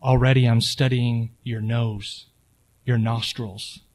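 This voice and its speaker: A cold woman guard talks down to her prisoner in slow, deliberate, menacing words, as a man reads her aloud in his own plain voice. The tone never lifts into warmth. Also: mid-range voice, flat delivery, lightly acted. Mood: goading. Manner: slow, deliberate, menacing second-person address.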